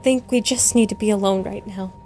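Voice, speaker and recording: A woman's voice: medium pitch, steady tone, fairly quick, a little mellow, faint music behind, natural voice. A woman voicing a shaken young female pony in a fan fiction audio drama. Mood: subdued, worried.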